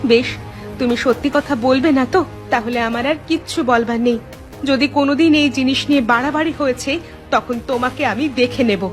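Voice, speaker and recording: A woman's voice: high-pitched, brisk, faint music behind, close to an everyday voice. A woman playing a stern, suspicious village wife in a cartoon, questioning and nagging with emphasis. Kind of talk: Bengali cartoon dialogue dub.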